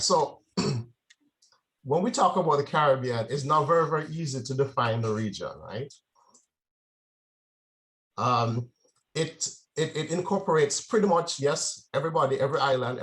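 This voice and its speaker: Jamaican-born man giving a relaxed talk. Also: medium pitch, normal speed. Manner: conversational, frequent "right?" check-ins. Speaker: presenter. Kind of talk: informal presentation.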